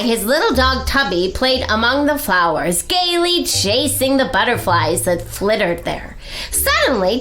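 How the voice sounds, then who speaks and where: A woman narrating a children's storybook as a library storyteller, in a dramatic, deliberate and expressive way. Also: fairly high pitch.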